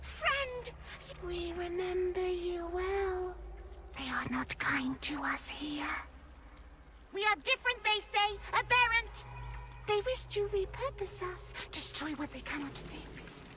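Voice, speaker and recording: Squeaky pleading alien brain creatures. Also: female voice, expressive, takes it slowly, over loud music, heavily acted. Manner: pleading, breathy whispers.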